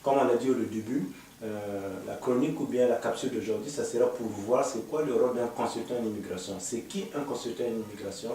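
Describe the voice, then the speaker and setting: A man hosting a studio panel talk on immigration, asking questions in a measured way with hesitant fillers. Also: medium pitch, warm tone, slight background noise. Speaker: host, immigration consultant. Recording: echoey room, mic far off.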